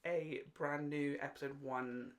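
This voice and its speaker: A woman's voice: sits low, steady tone, a little slow. A woman with a British accent co-hosting a casual podcast, chatting in a playful, jokey and opinionated way. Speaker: podcast co-host.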